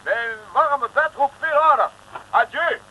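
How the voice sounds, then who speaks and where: An actor playing an irascible, dismissive colonel in a 1960s radio comedy serial, blustering and curt. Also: male voice, very high voice, slow pace, bright, forceful tone, lo-fi sound, full character voice. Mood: irritated, dismissive. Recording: noisy old radio recording.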